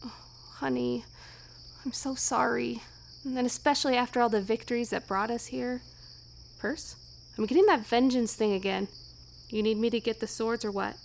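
An actress playing a wry, caring, loyal empath in a naturalistic fiction podcast. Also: female voice, fairly high pitch, fairly even intonation, moderate pace, creaky, long pauses, a little hiss or hum. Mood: sympathetic.

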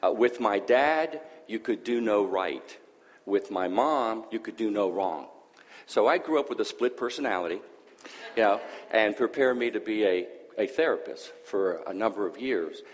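Greek-born man, deep voice, church testimony, easygoing with pauses, hall reverb. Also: lively intonation. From Greece. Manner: conversational, hesitant fillers. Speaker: church guest sharing testimony. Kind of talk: personal testimony.

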